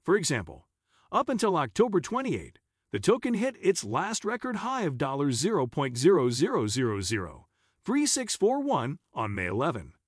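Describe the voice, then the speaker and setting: A man reading a scripted explainer narration in a husky voice. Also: fairly high pitch, wildly swooping pitch, moderate pace, no echo, clean studio sound.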